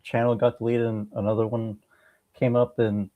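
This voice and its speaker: A man's voice: mid-range voice, little pitch movement, normal speed. Hesitant young man on a dull lo-fi recording. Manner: hesitant, laconic. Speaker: interview guest. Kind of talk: casual chat. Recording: dull lo-fi sound.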